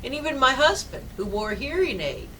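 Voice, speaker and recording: Older woman chaplain, deep and forceful, projects well; distant mic, light background noise. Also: very animated, brisk. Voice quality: low-pitched, projecting voice. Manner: loud and forceful, rambling personal stories. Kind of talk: devotional talk.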